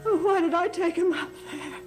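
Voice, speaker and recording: High-voiced, distraught soap heroine. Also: female voice, a little mellow, soft background music. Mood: anguished. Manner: slow, dramatic, halting delivery. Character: distraught, guilt-ridden woman pleading to be believed. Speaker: soap opera actress. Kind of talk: gothic soap opera acting.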